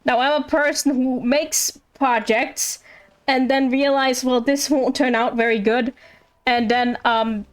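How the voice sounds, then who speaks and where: A woman's voice: sits high, steady tone, measured pace. A woman chatting casually on a game livestream, rambling and thinking aloud in a playful, giggly, self-deprecating way.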